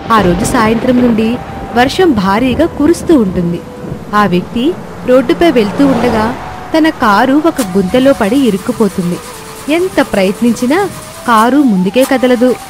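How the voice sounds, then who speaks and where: A woman's voice: on the high side, animated, moderate pace, music in the background. A woman reading scripted narration for a moral storybook tale.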